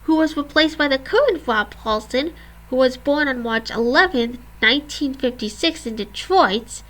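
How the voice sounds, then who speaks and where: A woman's voice: sits high, animated, normal speed, a bit bright. A woman in her twenties, a vlogger reading a scripted history and reciting long lists of names and dates. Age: 20s.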